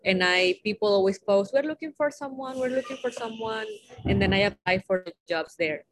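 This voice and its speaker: A woman's voice: medium pitch, animated, fairly quick. A woman from Venezuela with a Venezuelan Spanish accent, a native Spanish speaker, answering interview questions briefly and directly. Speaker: guest, virtual assistant.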